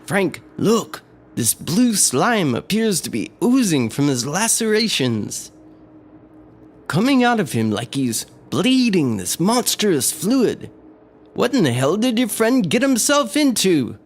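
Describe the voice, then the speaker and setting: A man's voice: high-pitched, very animated, takes it slowly, heavily acted. A man playing an older small-town family doctor in a radio horror drama, speaking in an earnest, dramatic way. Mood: grave, aghast. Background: faint music.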